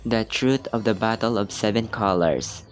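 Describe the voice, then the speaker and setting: A man's voice: lowish voice, normal speed, faint music behind. A man doing casual vlog narration as a gaming content creator. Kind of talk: casual vlog voice-over, reading game choices.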